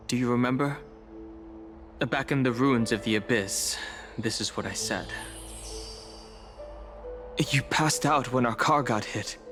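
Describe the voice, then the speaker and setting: Measured, earnest young hero, a man acting over music. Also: medium pitch, a bit bright, close to an everyday voice. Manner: measured, earnest, reserved, with sighs. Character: serious, level-headed young male adventurer, quietly caring companion. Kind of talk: scripted fantasy game dialogue.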